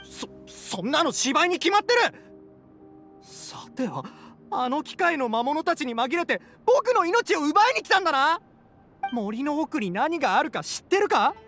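High, panicky young male villager. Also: sing-song, measured pace, a bit bright, faint music behind, heavily acted. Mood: accusing, panicked. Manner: stammering, agitated. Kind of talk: Japanese anime game voice acting.

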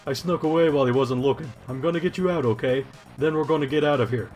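A man's voice: fairly high pitch, quick pace, a little mellow, faint music behind, lightly acted. A man playing a bitter, protective young skeleton brother in a comic dub, talking urgently and informally. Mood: hushed, determined. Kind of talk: comic dub dramatic acting.

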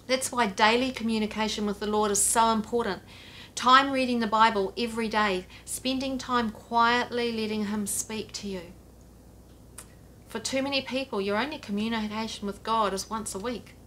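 A middle-aged woman preaches straight to the camera from a sofa in her living room, earnest and direct, her voice sitting at an ordinary middle pitch. A faint low rumble runs under the recording. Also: fairly bright tone. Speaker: pastor. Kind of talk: home church sermon to camera. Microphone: camera at a few feet, head and shoulders framing.

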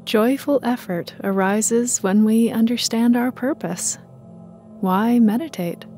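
Slow, mellow female meditation guide. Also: fairly high pitch, soft background music. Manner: calm, soothing, measured.

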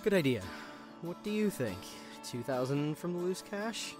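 A man plays a greedy, bossy robber in an audio drama. The delivery is blunt and swings widely in pitch, with music playing clearly underneath. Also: animated, normal speed, lightly acted. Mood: excited, brisk. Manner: blunt, commanding, often swearing. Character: bold, greedy, bossy would-be thief.

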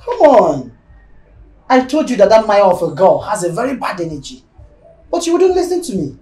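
An actor with a Nigerian accent playing a self-assured husband in a film drama, soothing and insistent. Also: male voice, high-pitched, sing-song, takes it slowly, darkish tone, far from the mic, heavily acted. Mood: animated relief. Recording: echoey room, faint music behind.

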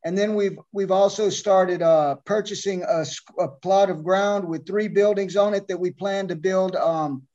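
A middle-aged man, a missionary, speaking devoutly and haltingly about his ministry in a video call interview. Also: sits high, steady tone, darkish tone. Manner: devout, hesitant speech with many restarts. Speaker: missionary, orphanage founder. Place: home room, beside his wife. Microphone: video call webcam. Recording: muffled lo-fi video call audio.

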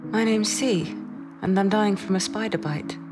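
An actress in her thirties playing a wistful, gently self-assured dying young woman, speaking softly. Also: medium pitch, moderate pace, close to an everyday voice. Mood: calm. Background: prominent music.

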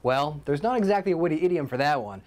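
A man's voice: on the high side, animated, fast, a little mellow. A man in his twenties presenting as a host with scripted, jokey narration. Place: small indoor set. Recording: clear background noise.

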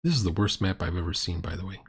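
Man, middle-aged, lowish husky voice, casual commentary, critical and sardonic. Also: fast talker, faint music behind. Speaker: commentator reviewing a roleplaying game session.

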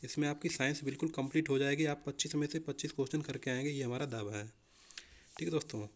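A young man explaining exam preparation informally as a coaching teacher, often addressing listeners as friends. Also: medium pitch.

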